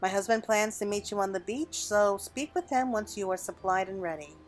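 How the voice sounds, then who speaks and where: A woman voicing a kindly matronly game character with formal, measured, old-fashioned speech. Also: mid-range voice, close to an everyday voice. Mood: composed, instructive. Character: kindly matronly woman sharing family recipes. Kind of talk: video game dialogue read aloud. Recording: background music under the voice.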